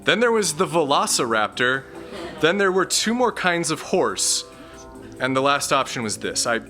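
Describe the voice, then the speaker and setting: A man's voice: fairly high pitch, big pitch swings, moderate pace, pushed and bright, faint music behind. A man playing a timid, awkward young man who hesitates and stammers. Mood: hesitant.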